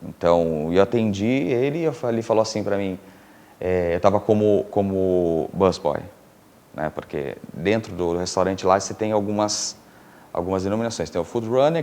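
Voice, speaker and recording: A middle-aged man from Brazil chats as a guest on a TV interview show. His fairly low voice turns animated as he tells his stories, often breaking into laughter. His speech is loose and conversational, full of fillers and restarts. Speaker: interview guest, filmmaker and producer. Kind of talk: casual storytelling in a TV interview.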